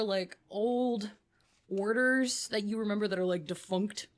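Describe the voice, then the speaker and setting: A woman narrating as game master in a casual, profane way, full of filler words. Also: mid-range voice.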